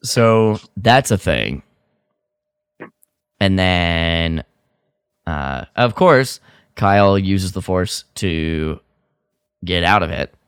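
A man co-hosting a podcast, chatting casually through a story recap in a halting, loosely phrased way that often trails off. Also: deep voice, lively intonation, clear, resonant tone, no echo, clean studio sound. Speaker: podcast co-host.

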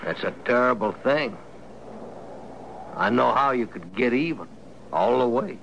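Gravelly, wheedling outlaw prisoner. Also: male voice, mid-range voice, animated, unhurried, a bit bright, highs cut off. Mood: sly, coaxing. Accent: rural American. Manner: folksy, coaxing, insinuating. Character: sly wheedling outlaw prisoner, folksy and menacing. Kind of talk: old-time radio western acting. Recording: noisy vintage radio recording.

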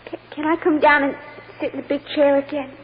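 A woman performs a small girl on the witness stand in a vintage radio play. The voice is very high, childlike and hesitant. The recording is dull, with some hiss and noise. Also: flat delivery, fairly quick, close to an everyday voice. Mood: shy, curious. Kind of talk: old-time radio acting.

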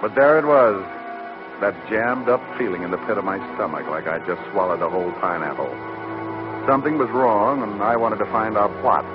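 A man playing a hard-boiled American private eye with a low voice and deadpan, flippant delivery. It is vintage radio drama, with dull sound and music behind. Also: fairly quick, lightly acted. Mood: uneasy narration. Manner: deadpan wisecracking. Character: wisecracking hard-boiled male private detective, cool and flippant. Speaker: lead actor and narrator. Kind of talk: 1940s-50s radio detective drama.

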